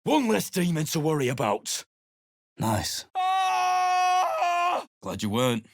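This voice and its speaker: A middle-aged man voicing a surly, weary male mercenary adventurer in a video game, talking in a gruff, blunt and sarcastic way. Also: high voice, wildly swooping pitch, takes it slowly, no room sound, raised voice, studio quality, full character voice. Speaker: game character.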